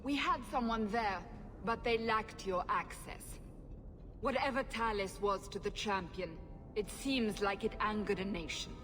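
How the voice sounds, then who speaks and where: A woman playing a stern, commanding interrogator in a video game, imperious and deliberate in her speech. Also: medium pitch, a bit bright, lightly acted. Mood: probing. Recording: long hall reverb, faint music.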